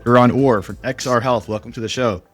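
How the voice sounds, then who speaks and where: A man's voice: medium pitch, fairly even intonation, fairly quick, fairly bright tone, dry recording, soft background music. A man hosting a podcast who speaks with enthusiasm and often repeats words as he starts a sentence. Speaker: podcast host. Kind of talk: podcast interview.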